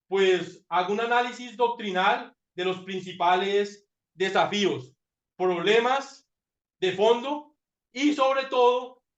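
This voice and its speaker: A man presenting his doctoral thesis in a master class, talking reflectively with frequent fillers and repeated words. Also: high-pitched. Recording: roomy, dull lo-fi sound.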